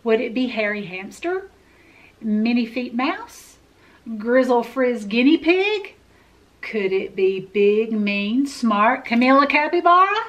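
A middle-aged woman reads a picture book to children as a library storyteller. Her voice is fairly high and she takes her time. The delivery is expressive. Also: slow pace. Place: indoor room with full bookshelves.

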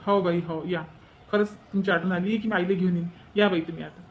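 A man's voice: high-pitched, steady tone, fairly quick. A man voicing a reasonable, mildly exasperated village son in a cartoon drama, placating and insistent, with a rural Vidarbha Marathi accent. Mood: apologetic. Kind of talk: cartoon family drama dialogue. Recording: dull lo-fi sound.

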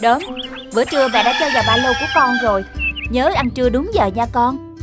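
Woman as high-voiced, firm cartoon animal mom. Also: fairly quick, loud music behind the voice, lightly acted. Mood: reminding. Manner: motherly, gently admonishing. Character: caring but firm adult female cartoon animal mother. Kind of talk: animated children's cartoon dialogue.

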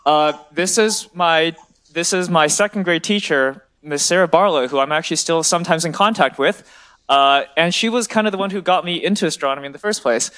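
A man's voice: fairly high pitch, brisk, fairly bright tone. A man in his twenties, a graduate student, giving an informal and enthusiastic public science talk in a large lecture hall. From China and the US. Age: 20s. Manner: enthusiastic, checks in with "right?".

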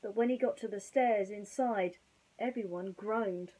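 Young female storyteller, husky, theatrical but informal children's reading. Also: mid-range voice, measured pace, mellow, poor audio. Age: young adult. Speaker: bedtime storyteller. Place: small bedroom, indoors.

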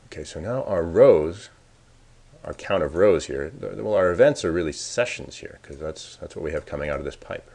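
A man's voice: low voice, lively intonation, moderate pace, creaky, darkish tone. A man narrating a casual screencast tutorial as the presenter, informally thinking aloud as he works. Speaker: software presenter giving a demo.

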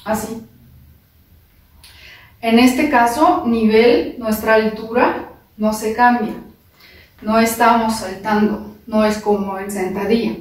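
Slow-speaking non-native woman teaching dance. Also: on the high side, steady tone. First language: not Spanish. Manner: careful, halting non-native phrasing with pauses. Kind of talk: slow step-by-step dance instruction, counting beats. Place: large living room indoors. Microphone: far camera. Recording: echoey room from far off.

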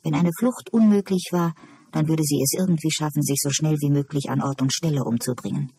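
An audiobook narrator reads literary prose at a medium pitch. The voice is very breathy and husky, with a dark, mellow tone. The sound is dry. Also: fairly quick, no room sound. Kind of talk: scripted literary narration, reading a novel aloud.

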